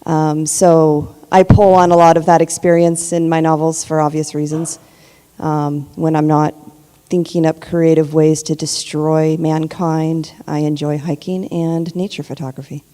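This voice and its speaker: A middle-aged woman with a low, warm, mellow voice speaks earnestly on a panel, often pausing on ums. Her voice rings with the long reverb of a large hall. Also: flat delivery. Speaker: panelist author. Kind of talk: conference panel talk. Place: conference panel room.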